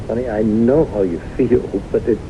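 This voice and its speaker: An actor playing a devoted, reassuring, enthusiastic husband in the style of a radio drama. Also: male voice, medium pitch, moderate pace, lightly acted. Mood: reassuring, excited. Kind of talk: 1970s radio drama acting. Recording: muffled, noisy old radio broadcast.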